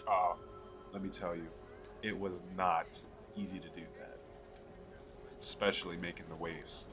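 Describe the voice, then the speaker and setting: A man playing an eager, chatty fantasy merchant in an ASMR roleplay, pitching his magical wares to the listener in a coaxing, conversational way. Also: little pitch movement, unhurried, music in the background. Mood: proud, enthusiastic. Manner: coaxing salesman, conversational, hesitant asides.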